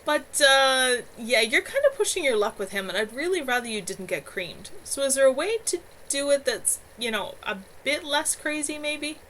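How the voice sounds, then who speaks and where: A woman's voice: high-pitched, sing-song, normal speed, few pauses, fairly bright tone, slight background noise, pitched above the performer's own voice. A woman reading a young man's lines, casual and joking with a slightly anxious edge, in a lightly raised voice.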